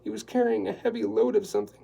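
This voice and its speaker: A man reading aloud and voicing a nervous, tearful woman housekeeper who talks in a high, fretful whimper. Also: big pitch swings, quick pace, vocal fry, mellow, slightly rough, exaggerated voice. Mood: anxious, fretful.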